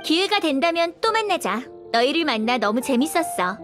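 A young noblewoman knight, speaks high and melodically over faint music. She is a video game character, reserved and aloof, with warmth held underneath her composure. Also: big pitch swings, normal speed. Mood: fond, composed.

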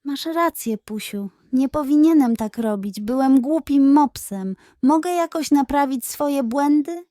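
Woman voicing a high-pitched, self-important greedy pug. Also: a little slow, hardly any pauses, dark tone, no room sound, studio quality. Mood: contrite. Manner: pompous and nonchalant, turning plaintive. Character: greedy, sly, self-important male pug, later contrite. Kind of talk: children's storybook character voice.

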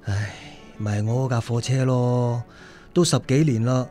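A devoted working-class father voiced by a man in a radio play, mid-pitched, with a Hong Kong Cantonese accent. He is hesitant and apologetic, and music plays faintly behind him. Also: steady tone, measured pace, mellow, natural voice. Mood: weary, resigned. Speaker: radio drama actor. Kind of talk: radio drama acting.

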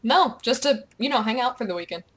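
A young woman chatting casually while gaming, excitable and playful, with goofy exclamations and bits of on-screen text read aloud. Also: fairly high pitch, fast. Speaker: gamer, video creator. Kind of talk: casual gameplay chat, reading quest captions aloud.

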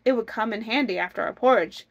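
A woman's voice: on the high side, fast, creaky, dry recording, highs cut off. A woman voicing an eager, hungry country husband from a folk tale in keen exclamations.

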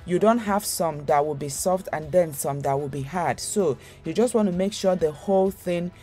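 This is a young woman hosting her own cooking channel, talking through a recipe. Her voice sits low and sounds warm and mellow. She rambles casually, stopping to correct herself and filling gaps with fillers, while faint music plays behind her. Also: normal speed. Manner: chatty, rambling, many fillers and restarts.

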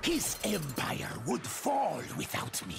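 An actor voicing a menacing, greedy demonic minion with a raspy voice in a fighting game. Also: male voice, on the high side, wildly swooping pitch, slow pace, heavily acted. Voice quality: gravelly, raspy. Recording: loud game music and effects behind.

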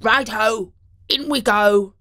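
A woman doing a loud, eager scruffy storybook dog. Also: on the high side, slow pace. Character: scratchy, clever scruffy male mutt dog.

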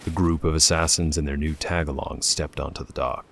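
A man's voice: a bass voice, steady tone, brisk, creaky. A man narrating a scripted dramatic story as a storyteller.

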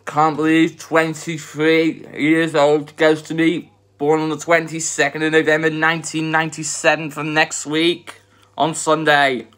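A young man in his twenties talks into a phone camera in his bedroom, slow and earnest, circling back to the same point again and again. His voice stays flat and level, with hardly any rise or fall. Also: on the high side. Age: 20s. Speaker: vlogger. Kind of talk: casual eating vlog chat. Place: bedroom at home, indoors.

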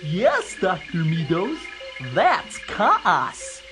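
A man's voice: high voice, huge pitch swings, very slow, music in the background, full character voice. A man voicing an eager, naive little helper in a children's audio story, earnest and gee-whiz. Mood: dutiful.

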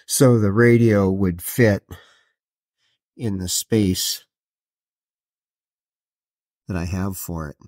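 A middle-aged man narrating a hands-on how-to in a deliberate, matter-of-fact way. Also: low voice, a little mellow. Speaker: host of a DIY boat install video.

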